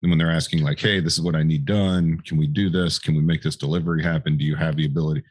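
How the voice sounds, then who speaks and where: A man's voice: very deep voice, fairly even intonation, quick pace, husky, no echo, studio quality. A man chatting casually as the co-host of a podcast. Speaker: podcast co-host, Marine veteran. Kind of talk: casual chat.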